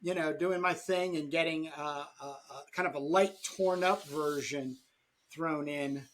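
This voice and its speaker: A man hosting a podcast about comics in casual talk, pausing mid-sentence as he goes. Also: fairly high pitch, a bit bright, slight background noise. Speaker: podcast host and comic artist.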